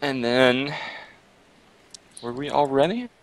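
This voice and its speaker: A man's voice: mid-range voice, animated, slow. Upbeat man co-casting with a chuckle. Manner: upbeat, laughs often, keeps saying "absolutely". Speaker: esports co-caster and interviewer. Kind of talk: casual chat and color commentary.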